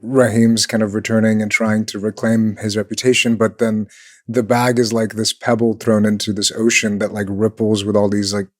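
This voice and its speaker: Man from Pakistan, film panelist, quick and reflective, dry close sound. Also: fairly low pitch, flat delivery, no echo. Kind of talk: casual discussion.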